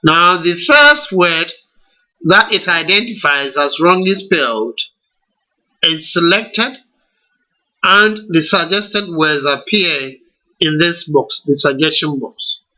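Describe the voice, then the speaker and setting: A man's voice: on the high side, lively intonation, pushed and bright, poor audio. A man with a Ghanaian English accent, walking learners through a computer tutorial step by step and asking them rhetorical questions. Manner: slow, deliberate step-by-step instruction with rhetorical questions. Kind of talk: screen-recorded software tutorial.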